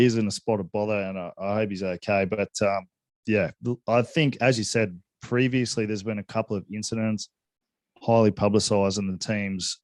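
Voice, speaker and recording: A man with a fairly low voice talks casually as co-host of a sports podcast. He is measured and tends to understatement, hedging as he goes. The recording is dry and close. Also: dry recording. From Hong Kong.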